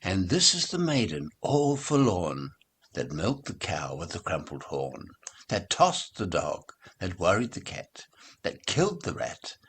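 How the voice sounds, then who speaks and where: A husky male reader recites nursery rhymes very slowly. His voice sits fairly low, and he keeps a measured storybook rhythm with clear rises and falls. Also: wildly swooping pitch, fairly bright tone.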